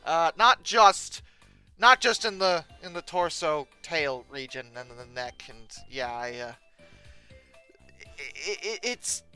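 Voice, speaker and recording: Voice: medium pitch, lively intonation, slow, bright, forceful tone, clear, resonant tone, faint music behind. A commentator giving humorous off-the-cuff commentary, stammering and hesitating, with bursts of theatrical exasperation. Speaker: YouTube host. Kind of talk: humorous unscripted commentary on pictures.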